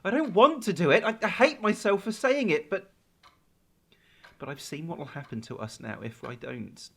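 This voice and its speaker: A man's voice: high voice, animated, normal speed, vocal fry, slightly rough, exaggerated voice. An actor playing an anguished, guilt-ridden boyfriend in a radio drama, hesitant and stammering as he defends himself. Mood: pleading. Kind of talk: naturalistic radio drama acting.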